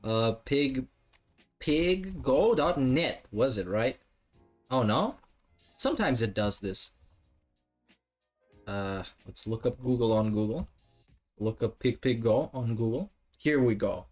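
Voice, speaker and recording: A man's voice: mid-range voice, animated, slow, long pauses, faint music behind. A man doing reaction commentary on stream, mocking and heckling with lots of swearing.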